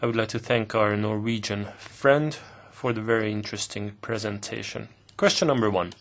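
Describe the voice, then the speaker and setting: A man interpreting formal committee questions live, speaking in halting bursts with pauses mid-phrase. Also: on the low side, a bit bright. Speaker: simultaneous interpreter.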